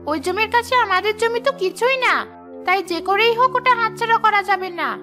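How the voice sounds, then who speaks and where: A woman voicing a greedy, scheming, nagging village wife in an animated moral story. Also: extremely high pitch, brisk, music in the background. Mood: greedy, urging.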